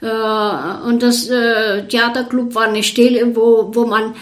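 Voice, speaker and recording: An older woman from Bulgaria with a Bulgarian accent, a violinist recalling her past in an interview in slow, hesitant German full of fillers. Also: on the high side, flat delivery. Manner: hesitant, many fillers, searching for German words. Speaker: orchestra violinist. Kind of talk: interview recollection.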